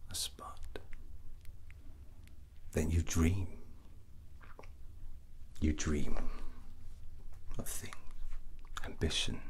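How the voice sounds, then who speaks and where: Man whispering as a very deep, brooding rogue prince. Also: a bit bright, full character voice. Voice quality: breathy, very low whisper. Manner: slow, deliberate, drawn-out phrases with pauses. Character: drunken rogue dragon-riding prince, brooding, ambitious, grandiose. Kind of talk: whispered ASMR roleplay monologue.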